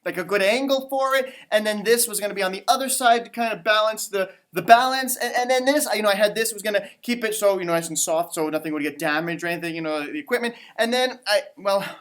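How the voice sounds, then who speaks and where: A man hosting a storytime for children, reading and chatting in a theatrical, halting, conversational way. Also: sits high, fairly bright tone. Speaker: storytime host and teacher. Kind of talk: children's storybook read-aloud with chatty asides.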